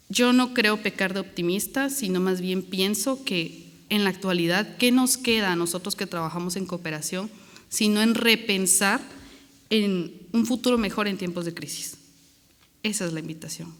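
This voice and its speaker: A woman's voice: lowish voice, in a large hall. A Guatemalan woman with a bad cold, an NGO project director giving an earnest, grateful talk on a conference panel. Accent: Guatemalan Spanish.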